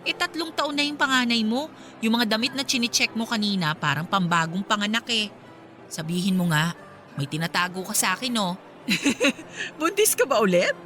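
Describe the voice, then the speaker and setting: An actress playing a candid, supportive young wife who longs for a child, in an expressive Filipino radio drama. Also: female voice, medium pitch, normal speed, faint music behind. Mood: teasing, probing.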